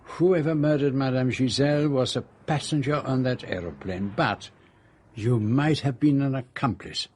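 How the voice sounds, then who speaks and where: An actor in a radio drama playing a shrewd, courteous older Belgian detective, deliberate and precise, with a Belgian French accent. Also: male voice, medium pitch, breathy edge, lightly acted. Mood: suspicious. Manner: deliberate, precise, gently insistent.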